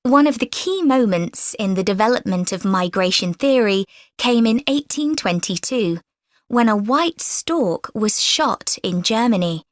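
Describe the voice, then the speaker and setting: Woman, clear scripted presenter, mid pitch, dry studio sound. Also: animated, no echo. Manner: clear, measured delivery. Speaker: lecture speaker in a listening exam recording. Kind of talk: scripted student presentation reading.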